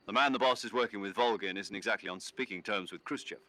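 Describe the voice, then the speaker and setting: A man playing a stern military commander in a video game, speaking in a measured, formal and urgent way. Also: lowish voice, sharp tone. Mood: concerned. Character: stern male military commander giving radio briefings. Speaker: mission commander. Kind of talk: cinematic video game acting.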